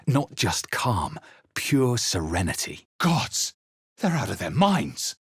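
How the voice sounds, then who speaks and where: A man playing a wary, resolute fantasy adventurer in video game voice lines, with a measured, theatrical delivery. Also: mid-range voice, sing-song, slightly rough, no room sound, studio quality, full character voice. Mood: alarmed. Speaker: player character voice. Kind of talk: scripted video game voice lines, dramatic narration.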